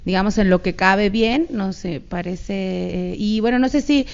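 A woman's voice: mid-range voice, a little slow, gravelly, clear, resonant tone. Muffled woman interviewer. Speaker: interviewer taking a phone call. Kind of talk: conversational interview.

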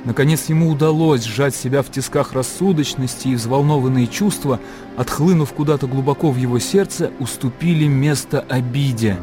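A man's voice: mid-range voice, normal speed, music in the background. A man reading scripted literary narration as the narrator of a radio drama.

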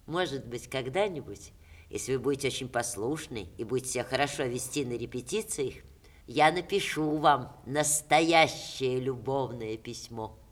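An actress in a 1960s Russian radio play, playing a witty, ailing stage star with expressive theatrical diction. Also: female voice, a bass voice, normal speed. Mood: wistful, teasing.

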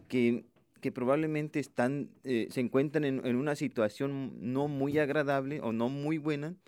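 A man's voice: medium pitch, darkish tone, clear, resonant tone, dry recording. A male radio host interviewing a guest, asking long, winding questions with restarts.

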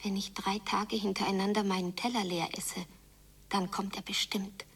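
A woman playing a yearning, stubborn young girl in a radio drama, speaking breathily in an intense, halting, hushed way. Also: mid-range voice, little pitch movement, husky, distant mic. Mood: hopeful, secretive. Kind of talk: radio drama inner monologue, often whispered.

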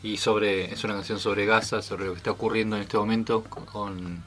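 A man's voice: lowish voice, little pitch movement, normal speed, a bit bright. A man co-hosting a radio talk show, explaining things informally. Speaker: radio host.

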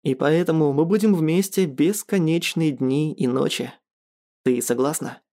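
A performer as a calm, cocky male lover. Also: mid-range voice, unhurried, natural voice. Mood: cocky, tender. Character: self-satisfied, unrepentant young male lover, tender and cocky.

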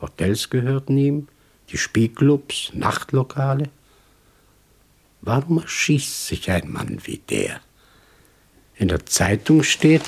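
Bedächtiger, rauer kleiner Händler. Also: male voice, fairly low pitch, a little mellow, heavily acted. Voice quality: husky. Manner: hesitant, ponderous, polite. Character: honest, brooding male toy shopkeeper, a troubled witness. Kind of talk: German radio crime drama acting.

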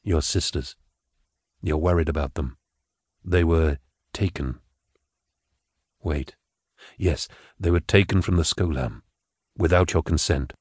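A man voicing a polite, refined middle-aged male telepath who speaks in a hushed, measured way. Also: a bass voice, husky, no room sound, clean studio sound, voice pushed down, heavily acted. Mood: calming.

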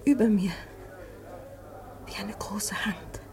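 An actress playing a fearful, sleepless wife in a German radio drama, speaking in a hushed, breathy voice. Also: female voice, medium pitch, slow pace, lightly acted. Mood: uneasy. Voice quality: breathy, whispery. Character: anxious, sleepless wife clinging to her husband.

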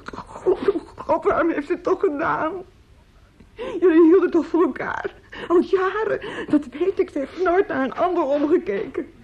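An actress in a Dutch radio play playing an anguished, talkative female relative who breaks terrible news, theatrical, halting and pleading. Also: very high-pitched, a little mellow. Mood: sorrowful. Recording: muffled, noisy old radio play recording.

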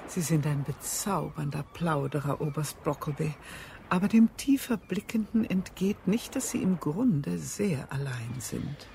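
A coy genteel widow. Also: female voice, sits low, lively intonation, unhurried, vocal fry, mellow, a little hiss or hum, heavily acted. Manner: coy, affected politeness. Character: genteel widow flirting at a seaside spa. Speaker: actor in a radio drama. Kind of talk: radio play acting.

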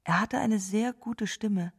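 An actress playing a gentle, grieving wife who remembers a lost love in a halting, subdued way in a radio drama. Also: female voice, mid-range voice, breathy edge. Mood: wistful sorrow. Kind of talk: literary radio drama monologue.

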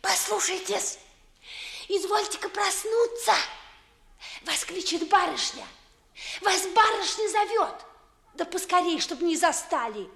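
A pert young maidservant speaks in a high voice at an unhurried pace. She is folksy and theatrical, timid yet ready to scold, as in 1950s radio theatre. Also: lively intonation, gravelly, fairly bright tone, heavily acted. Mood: pert, teasing. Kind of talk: 1950s Russian classical radio theatre acting.

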